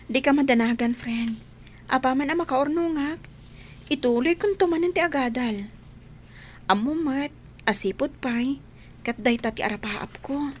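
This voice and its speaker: A woman plays a determined young Ilocano daughter in a radio drama. Her voice is very high and earnest, over faint background noise. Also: normal speed, pauses often, close to an everyday voice. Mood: earnest, resigned. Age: young adult. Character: determined young daughter who left school to work.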